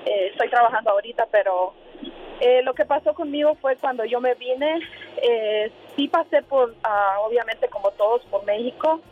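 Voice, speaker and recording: A woman's voice: a little high, moderate pace. Woman on a tinny phone line. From Honduras. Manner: hesitant, filler-heavy telling with quoted dialogue. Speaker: radio call-in listener. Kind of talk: unscripted personal storytelling. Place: in a car while driving. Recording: tinny noisy phone call.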